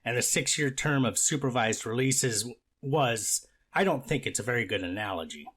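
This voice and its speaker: Guy playing a stern judge, mid-pitched voice, firm and deliberate, formal courtroom reading. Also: lively intonation, a bit bright, breathy edge. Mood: firm, admonishing. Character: stern, measured male judge presiding over sentencing. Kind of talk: readers theater courtroom transcript reading.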